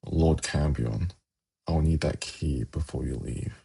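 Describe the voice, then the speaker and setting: A man playing a suave, haughty demon prince in an ASMR fantasy roleplay, speaking in a measured, formal, silkily condescending way with a gravelly, husky voice. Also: extremely low pitch, background noise, full character voice. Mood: cold disdain.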